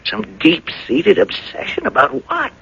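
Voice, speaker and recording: An actor playing a worried, fretful older father in a radio drama, halting and musing as he talks. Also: high voice, wildly swooping pitch, creaky, fairly bright tone, a little hiss or hum, full character voice. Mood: troubled. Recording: dull, lo-fi old radio recording.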